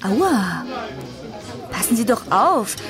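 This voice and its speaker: Radio play party guest: assertive woman, drawn-out delivery, bossy, crowd and music behind. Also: mid-range voice, sing-song. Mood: indignant. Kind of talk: theatrical radio drama acting.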